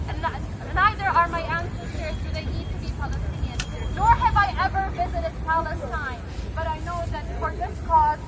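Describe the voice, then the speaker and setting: Woman with a very high voice giving an impassioned outdoor speech over heavy noise. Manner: declamatory, repetitive rhetoric. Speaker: rally speaker. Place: outdoor street protest. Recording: loud crowd and street noise.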